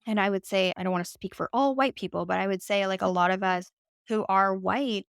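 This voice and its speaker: A woman's voice: medium pitch, a little fast, gravelly, fairly bright tone, clear, resonant tone, no room sound. Dry-voiced woman musing aloud. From United States. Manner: reflective, frequent "like" and "right?". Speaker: podcast guest. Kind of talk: conversational interview.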